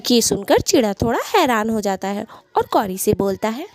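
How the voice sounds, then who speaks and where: A woman's voice: on the high side, big pitch swings, moderate pace, darkish tone, dry recording. A woman narrating a scripted cartoon story as the storyteller.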